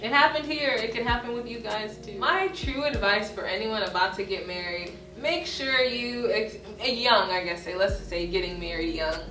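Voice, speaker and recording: A woman in her twenties chatting casually as an interview guest, candid and animated, with slangy wording. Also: mid-range voice, normal speed, hardly any pauses. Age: 20s. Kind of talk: casual chat. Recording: roomy, distant mic, music behind. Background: music.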